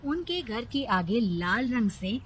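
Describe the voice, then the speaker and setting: A woman's voice: a little high, sing-song, slow, slight background noise. A woman narrating a scripted moral story as a storyteller.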